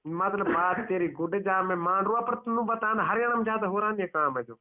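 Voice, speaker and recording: High-pitched Mewati man on the phone. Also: fairly quick, a little hiss or hum. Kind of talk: casual teasing phone chat. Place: phone call. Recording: muffled telephone call recording.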